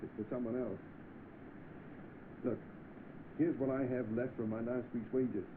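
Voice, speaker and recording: A man's voice: medium pitch, steady tone, a little slow, close to an everyday voice. An actor playing an earnest, self-doubting salesman in an old film drama, polite, hesitant and easily flustered. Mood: determined. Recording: tinny, noisy old film soundtrack.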